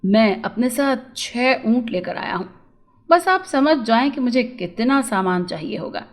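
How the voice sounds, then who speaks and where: Wealthy storybook merchant, male played by a woman: high voice, formal, measured, flattering, businesslike. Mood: smooth, businesslike.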